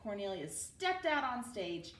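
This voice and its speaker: A woman narrates a children's story in an unhurried, animated way. She sounds a little distant in a roomy space. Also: mid-range voice. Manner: expressive read-aloud delivery. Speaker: teacher reading a storybook aloud. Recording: roomy, distant mic with background noise.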